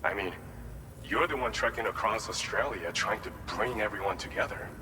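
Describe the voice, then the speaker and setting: Game cutscene role: male actor as earnest, plain-spoken former fighter, measured delivery, lowish voice, long reverb. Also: lightly acted. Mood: humble, reflective. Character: earnest, humble male former boxing champ at a facility. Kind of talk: video game cutscene dialogue and briefing. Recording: reverberant hall, background vehicle noise.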